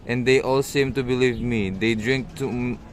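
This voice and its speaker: A man playing a boastful, fawning village matchmaker in an amateur radio drama, reading haltingly and stumbling over words. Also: mid-range voice, steady tone, a little hiss or hum, natural voice.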